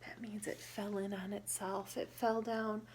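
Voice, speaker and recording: A woman reading a picture book aloud to children in a teacherly way, pausing to ask questions and explain words simply. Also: mid-range voice, little pitch movement, a little mellow, slight background noise.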